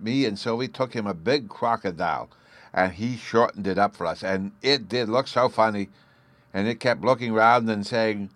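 A man's voice: on the low side, fairly bright tone, no room sound, voice pushed up, lightly acted. A man voicing an eager, stubborn little fairy boy with a babyish lisp, in an audiobook. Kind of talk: audiobook character voice.

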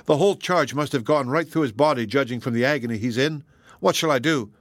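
An anxious, impulsive young fellow as played by a male storybook narrator. His voice sits a bit high and he speaks in fretful exclamations. The sound is dry and close. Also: animated, moderate pace, fairly bright tone, no echo. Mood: horrified.

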